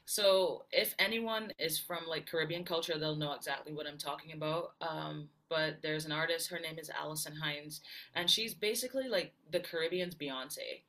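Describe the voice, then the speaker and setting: A woman's voice: low-pitched, moderate pace, sharp tone. A woman from Barbados, telling her story as a guest in a casual interview. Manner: chatty storyteller, many fillers and laughs. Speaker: guest singer-songwriter.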